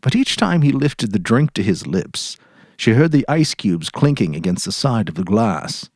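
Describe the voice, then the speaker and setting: A man narrating a literary short story dramatically, in a measured, deliberate way. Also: on the low side, big pitch swings, darkish tone, no room sound, studio quality.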